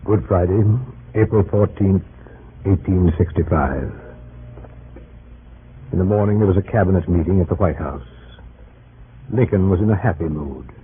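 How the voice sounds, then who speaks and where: A man narrating an old-time historical radio drama in a grave, measured storytelling manner. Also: sits low, lightly acted. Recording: noisy, tinny old radio recording.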